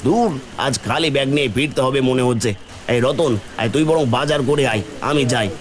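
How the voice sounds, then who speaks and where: A man playing a poor, gentle village father in a cartoon, soothing and resigned. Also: medium pitch, a little fast, natural voice. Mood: resigned, weary. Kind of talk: Bengali cartoon voice acting.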